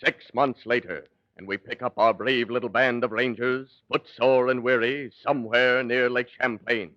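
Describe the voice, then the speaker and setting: A man's voice: mid-range voice, steady tone, moderate pace, no echo. A man announcing scenes as a mock-dramatic narrator in 1940s radio style. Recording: dull, hissy 1940 radio recording.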